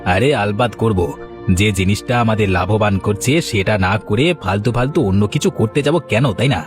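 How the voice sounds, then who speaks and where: A man's voice: lowish voice, normal speed, lightly acted. A man playing a sly, smug young swindler and boyfriend in a Bengali cartoon, cocky and wheedling. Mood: smug, scheming. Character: sly, smug young male swindler sweet-talking his girlfriend. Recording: faint music behind the voice.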